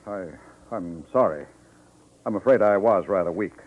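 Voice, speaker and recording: A man's voice: deep voice, moderate pace. An actor playing a formal, flustered and indignant respectable businessman who secretly runs a racket, in a 1950s radio drama. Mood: uneasy, apologetic. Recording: dull vintage radio recording.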